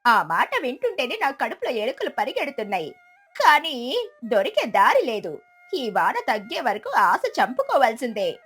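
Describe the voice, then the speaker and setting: A woman's voice: on the high side, huge pitch swings, a bit bright, slightly rough, full character voice. A woman voicing a hungry, resigned vulture who sighs with longing in a cartoon story. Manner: drawn-out, sighing. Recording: music behind the voice.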